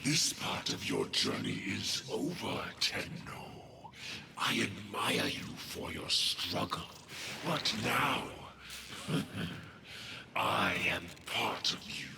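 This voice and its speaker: Fanatical diseased warlord for a game: very slow, gloating, wildly swinging pitch, distant noisy sound. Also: male voice, sing-song, gravelly, reverberant, exaggerated voice. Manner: slow, theatrical, gloating, dramatic delivery. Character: menacing, fanatical diseased Grineer commander, half-machine villain. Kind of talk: video game villain acting.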